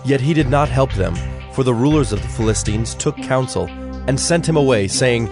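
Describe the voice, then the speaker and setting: A man narrating dramatized scripture in a solemn, measured, formal way. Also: mid-range voice, animated. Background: music.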